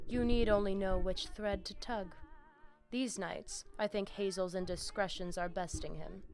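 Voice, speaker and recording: A scheming female vampire leader played by an actress in a video game. She speaks at a medium pitch, measured and formal, always in control, with faint music underneath. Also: fairly even intonation, a little mellow, lightly acted. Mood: sly.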